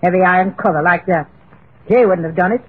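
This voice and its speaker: A woman acting a bossy, folksy old mother-in-law with a husky voice in an old radio play. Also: on the low side, a little fast. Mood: irritable. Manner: folksy, scolding. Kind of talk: 1930s-40s radio horror acting. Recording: tinny old radio recording.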